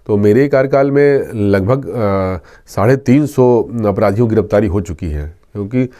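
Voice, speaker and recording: Male police inspector, soft dark timbre, earnest and instructive interview delivery. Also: lowish voice, expressive, normal speed. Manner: earnest, instructive, repeats key points. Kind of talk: explanatory interview answers with safety advice.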